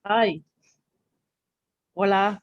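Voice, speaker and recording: A woman's voice: medium pitch, steady tone, extremely slow, muffled recording. Puerto Rican woman, rambling with fillers. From Puerto Rico. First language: Spanish. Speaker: graphic design teacher. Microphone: video call.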